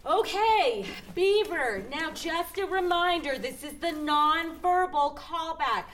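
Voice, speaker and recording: A brisk, businesslike casting director running auditions. Her voice is high, and clear background noise sits under her. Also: animated, fairly bright tone, exaggerated voice.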